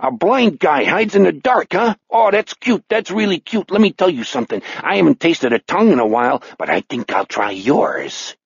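A man voicing a vicious, crude old serial killer with a Chicago accent, sneering and taunting in a rough, strained voice. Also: a little high, big pitch swings, normal speed, hardly any pauses, no echo, dull audio, pitched above the performer's own voice, full character voice. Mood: menacing taunts.

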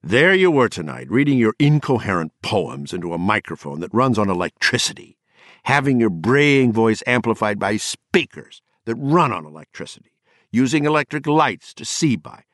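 A man's voice: lowish voice, sing-song, normal speed, dry recording, studio quality, voice pushed up. A man voicing a drunk, bitter and eloquent poet who rants mockingly with a rising voice. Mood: scornful. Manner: mocking, sardonic, raising his voice.

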